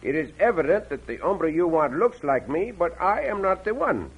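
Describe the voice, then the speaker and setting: An actor playing a suave, courteous Mexican cowboy hero with formal English, in an old-time radio western. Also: male voice, fairly high pitch, very animated, normal speed, slightly rough, exaggerated voice. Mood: firm. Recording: dull, lo-fi old radio recording.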